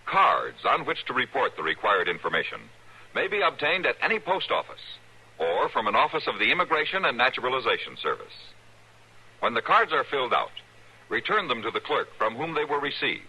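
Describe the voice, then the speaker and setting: A man's voice: mid-range voice, big pitch swings, fairly quick, bright, forceful tone, husky, poor audio. A man with an American accent reading a formal, emphatic public-service announcement in 1950s radio style.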